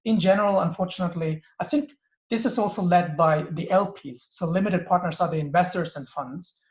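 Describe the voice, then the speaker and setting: A man's voice: high-pitched, fairly quick, echoey room, lo-fi sound. A man, answering interview questions with many ums and restarts. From Germany. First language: Turkish and German. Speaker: venture capital partner, guest.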